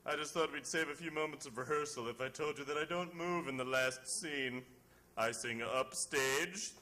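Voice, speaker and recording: A man's voice: fairly high pitch, moderate pace, sharp tone. An actor playing a courteous, assured male opera singer in an old-time radio drama, speaking with polite formality.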